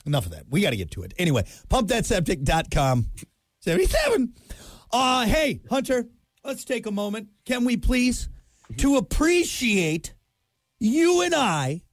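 A young man with a gravelly, scratchy voice, co-hosting a morning radio show in casual, joking banter and laughing often. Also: very high voice, dry recording. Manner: jokey, animated, laughs often.

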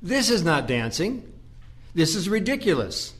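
A man's voice: high voice, sing-song, unhurried, a bit bright, full character voice. A man playing a stern, disapproving priest and protective son in an audio play, curt and formal. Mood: indignant.

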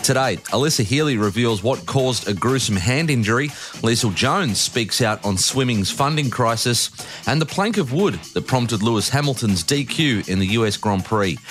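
A man's voice: medium pitch, animated, moderate pace, a bit bright. Sports news host, male, Australian, scripted narration, light music bed underneath.

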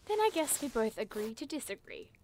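A woman's voice: on the high side, sing-song, brisk, noisy background. A woman playing a curious, earnest and stubborn young scientist in an audio drama, polite but hesitant.

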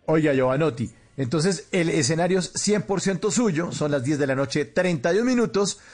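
A man's voice: on the high side, expressive, moderate pace, darkish tone, faint music behind. A man with a Colombian accent hosting a radio show, bantering casually with a guest.

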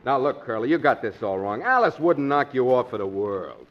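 A man's voice: mid-range voice, animated, normal speed, natural voice. A man playing a wisecracking, needling musician pal with slangy, teasing talk in a 1940s radio comedy. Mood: mock reassuring. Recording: noisy, muffled 1940s radio recording.

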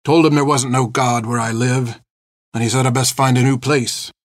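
A man acting out a gruff, haunted old pirate. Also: mid-range voice, fairly even intonation, normal speed, no echo, clean studio sound, lightly acted. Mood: subdued, remorseful. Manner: folksy, ungrammatical rustic old-sailor talk. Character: old reformed pirate, gruff, haunted, fierce yet tender. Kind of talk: dramatic audiobook character acting.

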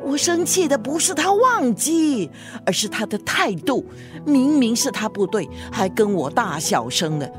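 A huffy, animated old grandmother. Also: a little high, a little slow, over music. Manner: scolding, sharp retorts, grumbling. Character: stubborn, huffy old grandmother who grumbles and scolds. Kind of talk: radio drama acting.

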